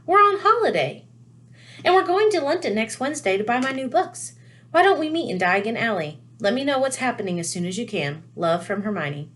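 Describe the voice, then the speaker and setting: A woman voicing an earnest, brisk schoolgirl witch reading out her letter in a storybook. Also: fairly high pitch, sing-song, a bit bright, pitched above the performer's own voice.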